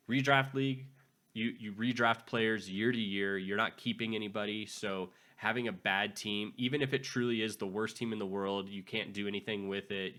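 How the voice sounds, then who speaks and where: A man hosting a fantasy football channel, his voice raspy after being sick, giving casual advice with frequent restarts. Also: fairly low pitch, a bit bright. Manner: conversational, frequent restarts and repeated words. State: raspy from recent illness. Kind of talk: casual advice talk to camera.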